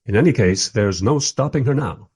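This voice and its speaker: A man's voice: medium pitch, animated, moderate pace, a little mellow, husky. A man playing a reflective documentary maker who narrates a radio play in a wry, literary, musing way.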